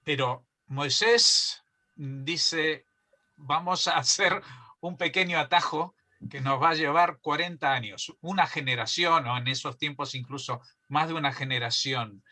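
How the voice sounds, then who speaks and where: A man moderating an online panel, asking a long, deliberate question with many pauses. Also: on the high side, bright, forceful tone.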